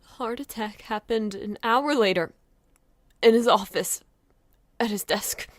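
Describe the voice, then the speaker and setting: A woman playing a depressed, bitter young girlfriend in a dramatized audio story, with a creaky voice. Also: medium pitch, slow pace, dry recording, clean studio sound, close to an everyday voice. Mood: hurt, accusing. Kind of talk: dramatized horror-fiction audio story acting.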